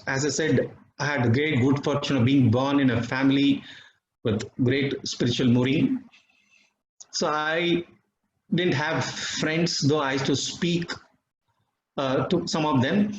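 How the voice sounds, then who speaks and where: Indian guy, mother tongue tamil, calm measured testimony, dull lo-fi sound w faint hiss. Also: fairly high pitch. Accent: Indian English. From Bangalore, India. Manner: reflective, measured, with pauses. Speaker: devotee sharing experiences. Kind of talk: personal testimony talk. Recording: dull, slightly roomy recording with faint noise.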